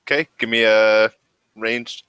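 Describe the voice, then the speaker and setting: A man with a very low voice acts as game master for a tabletop horror game. He tells the story with suspense and deliberate pauses. The sound is dry and close. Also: steady tone, fairly bright tone, clean tone, dry recording.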